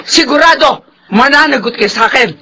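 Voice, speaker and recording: A woman's voice: high voice, normal speed. An actress playing a stern, domineering middle-aged mother, scolding and threatening, in a Filipino radio drama. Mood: angry, menacing.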